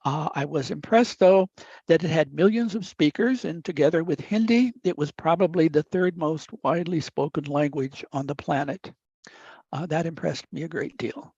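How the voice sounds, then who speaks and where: A man in his eighties from the upper Midwest of the United States, whose first language is Italian, telling a story from his life in a measured, deliberate way with frequent "uh" fillers. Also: a little high, darkish tone, husky, no room sound. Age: 80s. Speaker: guest speaker. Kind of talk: reflective storytelling talk. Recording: dull dry lo-fi recording.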